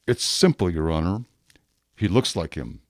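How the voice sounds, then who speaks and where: An actor playing a rigid, dutiful police inspector in a radio drama, speaking formally and deliberately with stiff deference. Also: male voice, deep voice, expressive, dry recording, studio quality. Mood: insistent, certain. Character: rigid, dutiful male police inspector, self-accusing and obsessive.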